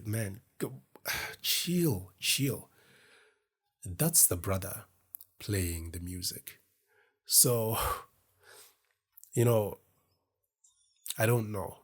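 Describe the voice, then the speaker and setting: A deep, low-pitched man with a husky, grainy edge speaks slowly to his camera. He rambles in a reflective, self-deprecating way, circling back to his thoughts as he goes. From Zimbabwe. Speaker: podcast host. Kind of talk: casual solo talk to camera. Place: indoors in front of a camera.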